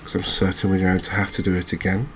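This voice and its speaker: A man with an English accent giving soft-spoken ASMR game commentary in a hushed, unhurried, hesitant manner. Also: low voice, monotone, a little hiss or hum. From England. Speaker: gaming video host.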